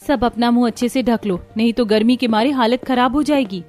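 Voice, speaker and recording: A woman's voice: on the high side, brisk, a little mellow, soft background music, lightly acted. A woman voicing earnest, fretful young village daughters-in-law in a cartoon story, with dramatic delivery. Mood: worried. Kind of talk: Hindi cartoon story dialogue.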